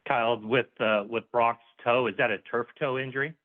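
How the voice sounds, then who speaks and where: A man working as a reporter, asking questions on a conference call in a halting, self-correcting way. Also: medium pitch, fairly even intonation. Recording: narrow, phone-like conference line.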